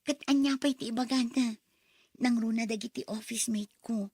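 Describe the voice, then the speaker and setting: Coy young office woman voiced by an actress, high voice, expressive radio drama delivery, dry close sound. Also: moderate pace, breathy edge, no room sound. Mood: friendly, uneasy. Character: young female office worker, coy, torn over brother-in-law.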